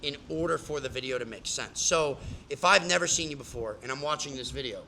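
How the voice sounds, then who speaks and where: Bright-voiced man coaching, casual and emphatic. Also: medium pitch, a little fast, gravelly, a little hiss or hum, rough recording. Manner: casual, filler-heavy, emphatic explaining. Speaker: business coach. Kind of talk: live coaching session.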